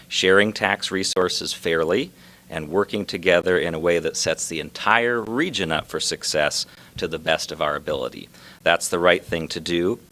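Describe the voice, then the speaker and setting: Male official, low-pitched, reading a prepared civic statement in a measured, formal way. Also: fairly bright tone. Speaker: local government official at a press announcement. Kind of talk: prepared public speech.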